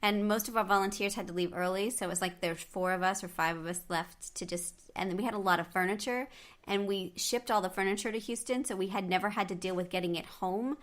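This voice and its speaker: A woman's voice: medium pitch, a little fast, a bit bright. Woman host, casual and rambling, lots of "yeah" backchannels. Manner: rambling, restarts often, frequent agreeing backchannels. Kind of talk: casual chat.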